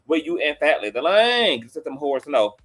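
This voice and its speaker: A man's voice: a little high, sing-song, moderate pace, fairly bright tone. Campy, sassy male gossip host. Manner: campy, sassy, calls viewers girl and child. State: nasal and sniffly from allergies. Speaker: host of a celebrity gossip live stream. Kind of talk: casual live stream chat.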